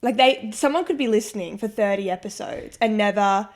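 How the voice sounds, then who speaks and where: A woman in her twenties bantering casually and loudly as a podcast co-host, close to a microphone in a small room. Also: fairly quick. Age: 20s. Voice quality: fairly deep voice for a young woman. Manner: loud, self-mocking. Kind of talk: casual banter between friends. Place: small indoor room, seated on armchairs. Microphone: podcast microphone on a boom arm.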